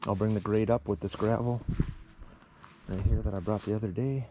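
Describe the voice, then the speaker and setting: A man's voice: lowish voice, steady tone, moderate pace, warm tone. A man talking casually and plainly with folksy phrasing, like a contractor narrating his work on a job-site vlog outdoors. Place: outdoors at a garage building site. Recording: noisy outdoor recording with insects.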